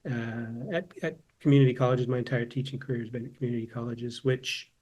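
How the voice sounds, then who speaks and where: Guy in his 50s from socal, talks things through slowly, keeps restarting words, mid-pitched voice. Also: steady tone, darkish tone, lo-fi sound. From Southern California. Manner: thoughtful, often repeats words while restarting. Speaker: guest, philosophy professor and translator. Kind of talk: casual interview conversation.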